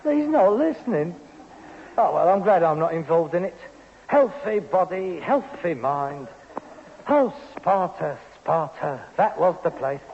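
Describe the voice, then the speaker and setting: Pompous, high-pitched London son, melodramatic. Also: male voice, lively intonation, unhurried, vocal fry. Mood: scornful, lamenting. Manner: declamatory, melodramatic, mock-grand. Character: pompous, theatrical, self-pitying grown son with grand pretensions. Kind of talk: 1960s British radio sitcom acting. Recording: muffled old radio recording, hiss, long reverb.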